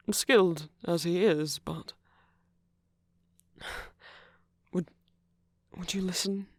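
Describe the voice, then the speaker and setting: Man playing a slow-spoken, gentle king in love. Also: sits high, husky, no echo, full character voice. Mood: amused, reassuring. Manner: soft, hesitant, formal and earnest, lapsing into whispers. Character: gentle, nervous king confessing love to a prince. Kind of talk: intimate ASMR audio roleplay.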